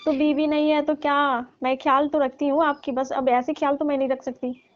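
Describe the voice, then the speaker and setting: A woman's voice: high voice, little pitch movement, fairly quick, lightly acted. A woman playing a young daughter-in-law in a comedic phone-call skit, scolding and teasing in mock reproach. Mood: coy, teasing. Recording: dull lo-fi phone-call style audio.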